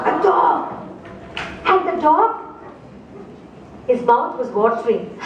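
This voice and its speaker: A woman from India, telling a story slowly and expressively to a live audience and asking them questions. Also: sits high. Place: festival opening ceremony stage. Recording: echoey hall, distant mic, background noise.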